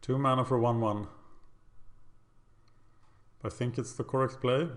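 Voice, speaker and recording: A man's voice: medium pitch, steady tone, measured pace. A man from Sweden with a Swedish accent, casually commenting on his own card game as he plays, thinking aloud and self-deprecating.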